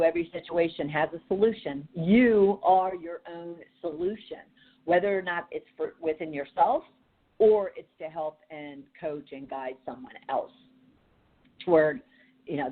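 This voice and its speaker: A woman with a deep, raspy voice hosting a podcast as a leadership coach, speaking directly and challengingly with frequent fillers. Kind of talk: motivational podcast monologue. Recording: tinny phone-like audio.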